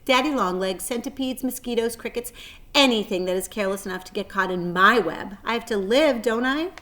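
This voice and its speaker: A clever lady spider from a storybook, played by a woman reader, thin and pleasant in voice, raised in pitch. She speaks in a genteel, articulate way, kindly yet matter-of-fact. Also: brisk, bright, forceful tone.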